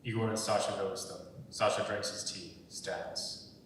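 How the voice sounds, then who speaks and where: A young man with a fairly low voice reads stage directions as scripted narration. He sounds distant in a very echoey room, over faint rumbling noise. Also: fairly even intonation, normal speed, fairly bright tone. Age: young adult. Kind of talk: scripted narration at a dramatic reading.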